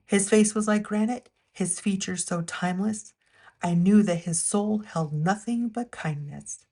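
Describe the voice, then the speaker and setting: Female storyteller, mid-pitched, for expressive read-aloud narration of rhyming children's picture books. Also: unhurried.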